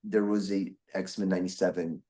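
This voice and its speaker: A young man from Brazil talks casually in a somewhat low voice. He rambles and restarts often, filling gaps as he thinks aloud. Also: flat delivery, moderate pace, dark tone. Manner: rambling, with many restarts and fillers. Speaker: guest voice actor. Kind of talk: casual interview chat.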